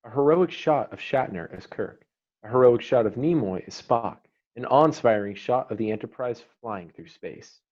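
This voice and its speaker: A man's voice: mid-range voice, expressive, moderate pace, a little mellow, dull audio. A man reading screenplay stage directions as a narrator, terse and declarative.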